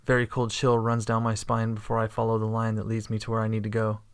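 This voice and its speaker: A man reading scripted first-person sci-fi narration in a steady, understated way. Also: fairly low pitch, fast talker, clean tone. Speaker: narrator and protagonist.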